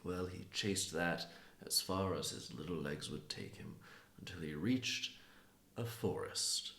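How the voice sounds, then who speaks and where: A man improvising a folktale, telling it haltingly with pauses between phrases in a gravelly, creaky voice. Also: sits low, expressive, fairly bright tone, a little hiss or hum. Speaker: writer improvising a story. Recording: roomy, echoing sound.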